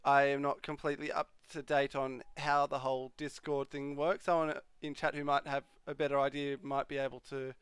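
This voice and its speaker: Smooth, dry-sounding male streamer. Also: mid-range voice, little pitch movement, a little fast, hardly any pauses, fairly bright tone, no room sound. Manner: chatty, reads and answers chat questions. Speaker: livestream host. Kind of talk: casual live chat with viewers. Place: home streaming room.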